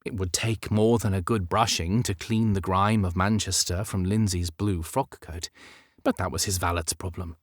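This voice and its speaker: Male audiobook narrator, low register, measured and expressive storytelling, close dry studio sound. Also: no room sound. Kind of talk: scripted narration of historical romance fiction.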